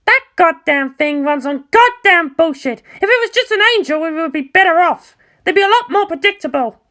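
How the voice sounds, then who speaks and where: A woman's voice: extremely high pitch, lively intonation, moderate pace, a bit bright, pitched above the performer's own voice. A woman voicing a brash, fiery teenage girl mecha pilot in a story, blunt and sarcastic. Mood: grim, forceful. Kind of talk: acted story dialogue.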